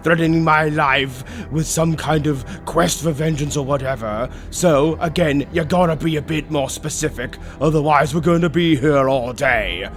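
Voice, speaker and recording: A man's voice: on the high side, normal speed, few pauses, soft background music, close to an everyday voice. A man voicing a suave, theatrical demonic bird tempter in a roleplay audio, drawling with mockingly polite, condescending villainy. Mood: condescending, bored. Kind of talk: scripted character roleplay audio.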